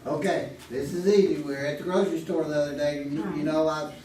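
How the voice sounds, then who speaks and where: A man's voice: fairly high pitch, steady tone, fast talker, dark tone. Casual male contestant in an echoey hall. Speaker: game show contestant. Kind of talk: casual conversational answering. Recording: echoey, distant mic with faint noise.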